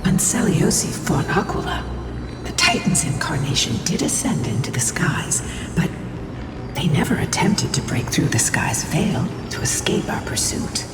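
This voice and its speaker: Cautious composed game lady, breathy low voice, big pitch swings, loud music. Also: sing-song, a little slow. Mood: pensive, skeptical. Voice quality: breathy, whispery. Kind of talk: scripted video game dialogue. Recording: distant, echoey hall, loud music.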